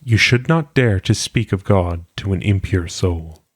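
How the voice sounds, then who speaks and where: A man reading philosophical maxims aloud in a solemn audiobook style, with measured, deliberate phrasing. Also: low voice, no room sound, clean studio sound. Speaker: reader.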